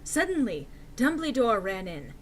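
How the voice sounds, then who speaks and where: Animated, high-voiced woman reading dramatically. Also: measured pace. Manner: theatrical, emphatic. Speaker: narrator. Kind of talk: dramatic comic reading of a story.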